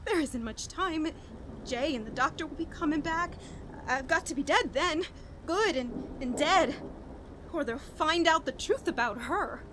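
An actress playing a frantic, haunted woman on the edge of madness in a horror radio play, halting and overwrought. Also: high voice, expressive, noisy background. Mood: desperate, afraid. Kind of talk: 1940s radio horror acting, dramatic monologue.

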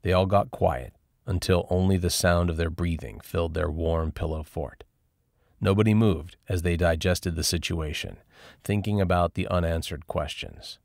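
A man's voice: deep voice, moderate pace, a little mellow, no room sound, clean studio sound. A man narrating a scripted story as an audiobook reader.

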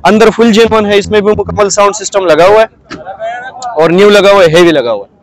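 A man's voice: high-pitched, fast, poor audio. A man with a Pakistani Urdu accent, a seller at an outdoor car market, giving casual and confident answers about his vans. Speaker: van seller.

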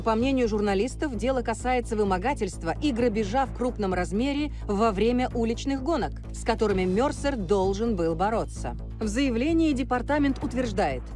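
An actress playing a formal, crisp female TV news reporter delivering a grave bulletin in a video game. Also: fairly high pitch, lightly acted.

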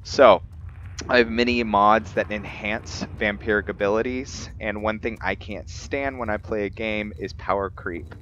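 A man's voice: lowish voice, fairly even intonation, unhurried, fairly bright tone. A man hosting a let's play with casual, explanatory gameplay commentary, often putting questions to his viewers. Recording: dull lo-fi mic, noisy background.

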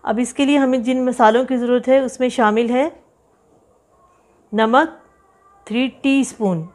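Chatty woman teaching a home recipe. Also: on the high side. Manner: chatty, instructive, lists ingredients slowly with repeats. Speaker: cooking channel host. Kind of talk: home cooking tutorial voice-over. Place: home kitchen.

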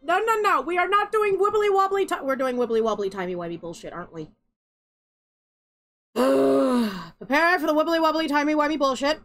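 High-voiced woman ranting over a game. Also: expressive, normal speed, a bit bright. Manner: ranting, sarcastic, swears freely. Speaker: streamer. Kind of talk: casual let's-play commentary. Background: game music and cutscene audio.

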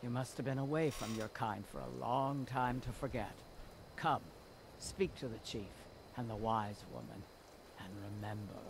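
A man playing a proud, welcoming orc of a stronghold in scripted fantasy game dialogue, measured and solemn. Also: mid-range voice, close to an everyday voice. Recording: game audio with clear ambient noise.

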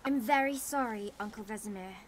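A woman's voice: a little high, brisk, a little hiss or hum, lightly acted. A woman voicing a precocious, mischievous young girl pupil in a fantasy video game, coy and formally reciting. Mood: coyly apologetic.

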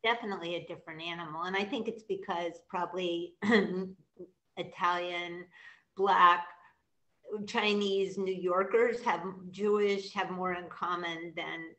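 A woman in her sixties from the Midwest, interviewing a guest over a video call, halting with many ums and restarts. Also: lowish voice, steady tone, bright, forceful tone, poor audio. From American Midwest. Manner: hesitant, many restarts and ums, asks long questions. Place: room with brick wall behind.

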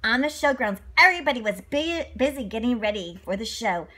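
A woman reads a children's story aloud in a fairly high, bright voice. Her delivery is very animated, swooping through the sentences and breaking off for exclamations and asides to her young listeners. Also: brisk.

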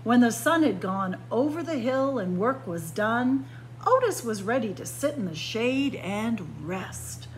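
A woman's voice: a little high, lively intonation, a little slow, slight background noise, low-quality recording. A woman reading a children's picture book aloud like a story-time librarian, expressively, with dramatic emphasis on key words.

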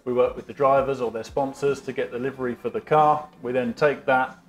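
A man's voice: medium pitch, fairly even intonation, brisk. Casting: male staff guide, matter-of-fact and unpolished, roomy workshop echo, faint music behind. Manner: plain, matter-of-fact explaining with many ums. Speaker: team staff member giving a tour. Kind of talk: explaining on a walking tour. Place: large workshop indoors.

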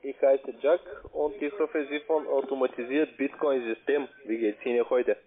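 A man's voice: medium pitch, fairly even intonation, normal speed. Salesman on tinny phone line. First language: not German. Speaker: call centre account manager. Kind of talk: scripted phone sales pitch.

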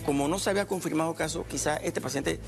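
A man's voice: on the high side, fast talker, gravelly, over music. A middle-aged man, a doctor explaining things in plain terms on a studio talk show. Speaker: infectious disease doctor guest. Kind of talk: explanatory TV interview.

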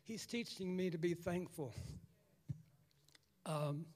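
Raspy man in a church hall. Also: sits high, darkish tone. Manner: halting, repeats words, clears throat. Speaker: church member giving a testimony. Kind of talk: personal testimony in a church service. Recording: long church hall reverb.

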